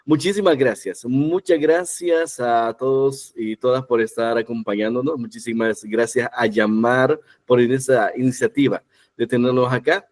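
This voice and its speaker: A man's voice: mid-range voice, steady tone, unhurried. Enthusiastic man speaking from home. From El Salvador. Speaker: guest speaker, journalist and university teacher. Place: his home near a church.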